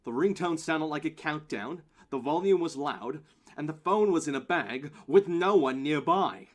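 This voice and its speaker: A man's voice: fairly high pitch, lively intonation, a little slow. A man playing a tense, bossy airport security guard in an audio play, curt and commanding, with some swearing. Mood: urgent, insistent.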